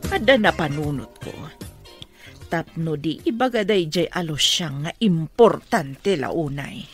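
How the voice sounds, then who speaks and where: A woman's voice: low voice, animated, a little slow, over music. A woman with a Filipino accent playing a scheming, pushy mother in a radio comedy drama. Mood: scheming, insistent.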